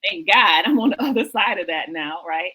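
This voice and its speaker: A woman's voice: on the low side, expressive, fairly quick, pushed and bright, breathy edge. A woman hosting a book talk show, speaking conversationally and enthusiastically in an emphatic, exhorting way that repeats phrases for effect.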